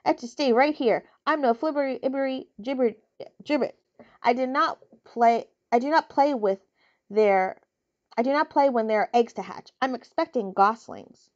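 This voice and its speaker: A woman's voice: a little high, normal speed, muffled recording, pitched above the performer's own voice, lightly acted. A woman voicing a fussy mother goose who repeats her words in a sing-song way, in a storybook reading.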